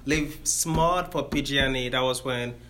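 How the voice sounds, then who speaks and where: Nigerian man, bright, explaining plainly. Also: mid-range voice, a little slow. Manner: friendly, explains step by step with self-corrections. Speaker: engineer giving a career talk. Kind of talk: informal explanatory talk to students.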